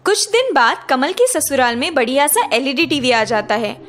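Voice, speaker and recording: A woman's voice: high voice, expressive, normal speed, a bit bright. A woman narrating a scripted cartoon story.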